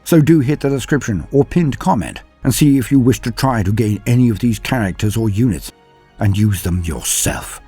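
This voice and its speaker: A man's voice: medium pitch, animated, normal speed, dark tone, husky, faint music behind. A man narrating scripted lore in a grandiose, theatrical storytelling manner. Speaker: narrator and presenter of a lore channel.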